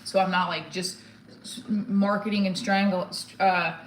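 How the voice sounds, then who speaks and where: A woman in her forties talks off the cuff to a livestream in a blunt, candid way. She rambles and often abandons a sentence midway. Her medium-pitched voice sits in an echoey room with slight noise behind it. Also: fairly even intonation, unhurried. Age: 40s. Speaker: stand-up comedian livestreamer. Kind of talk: casual livestream chat. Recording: roomy echo, some background noise.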